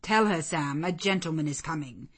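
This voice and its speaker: A woman voicing a sociable, persuasive gentleman colonel in a storybook, in a coaxing, declamatory manner. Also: sits low, moderate pace, slightly rough. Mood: genial, persuasive.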